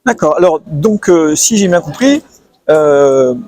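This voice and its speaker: A man's voice: fairly high pitch, expressive, unhurried, a little mellow. A man interviewing exhibitors at a convention, hesitant and often restarting his sentences. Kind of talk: casual interview chat. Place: convention hall.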